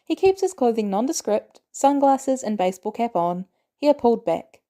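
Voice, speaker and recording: A woman reading fiction aloud as an audiobook narrator, in an expressive storytelling style. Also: fairly high pitch, normal speed. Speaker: audiobook reader.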